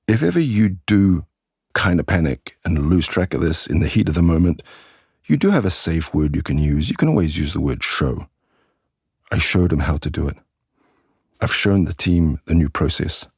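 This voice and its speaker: A man from England with an English accent, presenting a scripted English lesson in a clear, deliberate way and slowing down on key words and example sentences. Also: low voice, lively intonation, no echo, studio quality. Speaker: English teacher.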